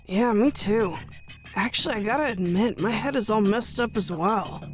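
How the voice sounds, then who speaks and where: A woman's voice: medium pitch, normal speed, natural voice. A woman voicing a candid, unsure youngster in an anime game, with a hesitant, rambling delivery. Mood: confused. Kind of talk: English anime game dub acting. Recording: music behind.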